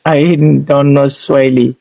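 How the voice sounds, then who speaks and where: A man's voice: mid-range voice, level tone, unhurried, creaky, mellow. A man with an Indian accent leading a casual English practice conversation as a learner, hesitant and often repeating his phrases.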